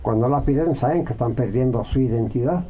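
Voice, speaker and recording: A Mexican man speaks as a guest on a radio interview, thinking aloud and weighing each point. His voice sits at an ordinary pitch. The recording sounds a little dull, with a faint hiss underneath. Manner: reflective, thinks aloud with many pauses and fillers.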